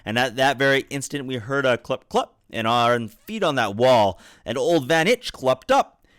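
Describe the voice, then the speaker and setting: A man with a medium-pitched voice reads a children's story aloud. His phrasing is expressive and theatrical, riding the rhymes. The sound is dry, picked up on a desktop microphone. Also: moderate pace, no room sound. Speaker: father reading a children's book aloud. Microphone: USB desktop microphone.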